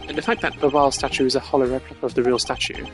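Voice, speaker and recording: A man voicing a composed, cerebral prosecutor in a video game, formal and deliberate in his wording. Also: medium pitch, level tone, lightly acted. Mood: explaining. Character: composed, cerebral male prosecutor arguing a case. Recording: game music behind the voice.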